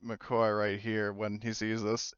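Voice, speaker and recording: A man's voice: fairly low pitch, monotone, takes it slowly, fairly bright tone, clean tone, no room sound. A man acting as game master, casually narrating a tabletop game in informal language over lo-fi audio.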